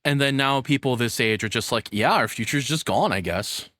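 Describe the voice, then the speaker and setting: A man's voice: mid-range voice, moderate pace, pushed and bright, dry recording, clean studio sound. A young man doing casual reaction commentary as a streamer, rambling as he thinks aloud. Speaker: VTuber streamer reacting to a video.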